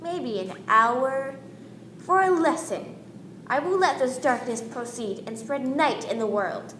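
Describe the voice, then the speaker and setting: A woman's voice: sits high, fairly bright tone, a little hiss or hum. A young woman in an amateur radio play, acting as a boastful, commanding Yankee man who poses as a magician. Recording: echoey room.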